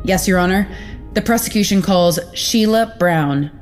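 A woman playing a determined prosecuting attorney in an audio drama, speaking formally and with deliberate emphasis. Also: mid-range voice, faint music behind, close to an everyday voice. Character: determined female prosecuting attorney addressing a jury. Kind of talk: audio drama acting, formal courtroom speech. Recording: clear background noise.

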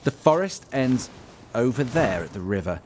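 A man's voice: mid-range voice, very animated, normal speed, darkish tone, a little hiss or hum, natural voice. A man playing a nervous, reluctant woodland guide in a comedic audio drama, whiny and stammering, eager to please. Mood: grudging. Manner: whiny, stammering, rambling excuses. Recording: clear outdoor water ambience behind.

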